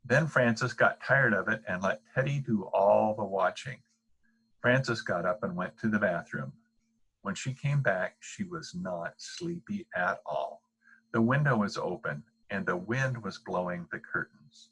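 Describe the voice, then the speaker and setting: An older man with a husky voice reading a children's bedtime story aloud. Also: fairly low pitch, lively intonation, unhurried, fairly bright tone, low-quality recording. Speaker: storybook reader.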